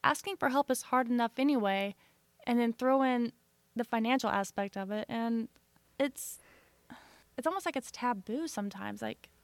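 An easygoing woman co-hosting a podcast, her voice a touch higher than most. The sound is dry and close, with no room around it. She chats casually and agrees along with her partner. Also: moderate pace, dry recording. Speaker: podcast co-host. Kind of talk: casual chat.

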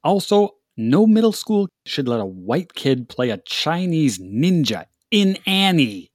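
A man's voice: on the high side, big pitch swings, unhurried, no echo. A man playing a fed-up, dry office worker. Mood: exasperated. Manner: dry, then exasperated. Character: fed-up male office worker who acts. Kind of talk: comedy sketch.

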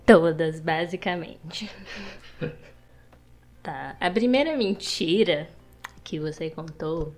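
A woman's voice: low voice, lively intonation, takes it slowly, pauses often, soft background music. A woman guesting on her boyfriend's podcast, playfully accusing him and laughing. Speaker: guest, host's girlfriend. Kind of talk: casual couple chat.

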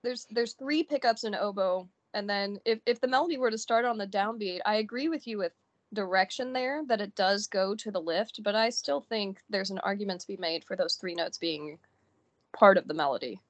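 A woman's voice: medium pitch, normal speed. A woman co-hosting a podcast, a horn player who talks through musical details thoughtfully in casual conversation. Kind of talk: casual music analysis chat.

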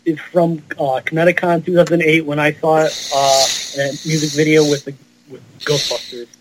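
A man's voice: a little high, little pitch movement, fairly quick. A man on a podcast panel giving facts in casual chat, in a hesitant, stop-start way. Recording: faint noise with clicks.

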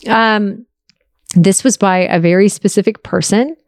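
A woman's voice: mid-range voice, a little slow, a little mellow, no room sound, clean studio sound. A woman in her early forties talking candidly and casually to her listeners, in an open, confessional and reflective way. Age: early 40s. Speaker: podcast host answering listener questions.

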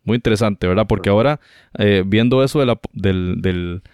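A man's voice: lowish voice, normal speed, darkish tone, smooth, dry recording, studio quality. A man hosting a conversational talk show, chatting and reading out quotations. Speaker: host.

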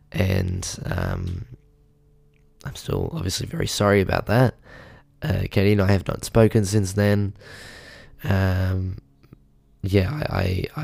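Young man, hoarse, very low gravelly voice, deadpan rambling podcast host, dry close mic. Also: dry recording. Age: young adult. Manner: deadpan, rambling, self-pitying. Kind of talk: comedic monologue. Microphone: podcast mic with pop filter.

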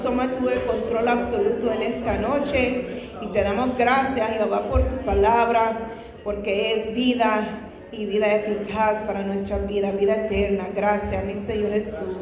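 A woman preaching a Bible study in Spanish, her second language, sprinkling in frequent hallelujahs and praises, fervent in prayer. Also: medium pitch. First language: not Spanish. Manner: frequent "aleluya" and "gloria a Dios" interjections; fervent prayer, louder and faster. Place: large echoey church hall. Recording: echoey hall with long reverb.

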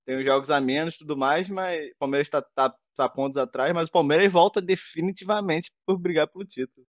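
A Brazilian man with a somewhat high voice gives football opinions in relaxed, slangy conversation. He sounds like a podcast commentator talking to a friend. The recording is very dry. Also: fairly quick, no echo, dull audio. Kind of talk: casual football chat.

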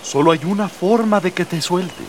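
A man's voice: high-pitched, normal speed, far from the mic, a little hiss or hum, natural voice. A man playing an idealistic, exasperated rebel prince in a radio drama, speaking earnestly and emphatically. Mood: warning.

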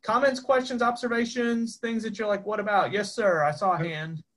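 A man with a fairly high voice teaches a Bible class. He talks loosely and conversationally, tossing rhetorical questions to the group. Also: steady tone, normal speed, fairly bright tone. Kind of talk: informal teaching.

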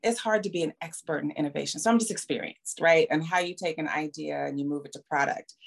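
A middle-aged woman with a slightly low voice, talking as a podcast co-host. She explains her points at length and with emphasis, often posing rhetorical questions and checking in with a short tag. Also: creaky. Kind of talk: conversational discussion, explaining at length.

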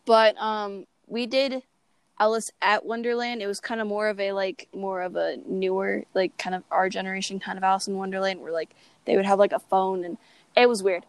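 A woman's voice: mid-range voice, level tone, fairly quick, smooth, dry recording. A young woman co-hosting a podcast, telling personal stories in a playful, self-mocking, exclamatory way. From Oklahoma, United States. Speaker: podcast co-host. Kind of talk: casual chat, personal storytelling.

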